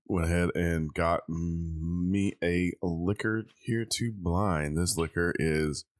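Congested man, extremely low pitch, dry close sound, casual taster who ums a lot. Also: steady tone, slow pace, no room sound. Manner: thinking aloud with many ums. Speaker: host tasting liquor blind. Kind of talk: casual tasting chat.

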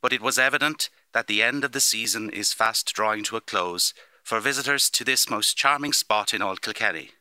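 Victorian newspaperman, radio drama reading, very bright forceful voice, lowish pitch, declamatory, dry sound. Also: brisk, few pauses. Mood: admiring, formal. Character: formal Victorian newspaper reporter reading his report.

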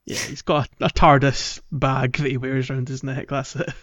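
A man's voice: mid-range voice, fairly bright tone, lo-fi sound. A man co-hosting a casual gaming podcast with a jokey manner. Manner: jokey, laughs often. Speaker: podcast co-host.

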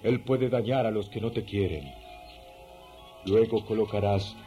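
Ritual leader role male actor: solemn spiritist priest, dark tone, commanding incantations over music. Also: on the low side, unhurried, lightly acted. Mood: solemn, incantatory. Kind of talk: radio drama acting.

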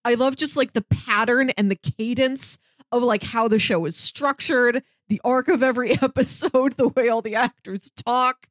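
A woman's voice: on the high side, fairly even intonation, moderate pace, dry recording, clean studio sound. Enthusiastic, chatty woman podcast co-host, closely recorded. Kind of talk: casual review chat, recommending audio dramas.

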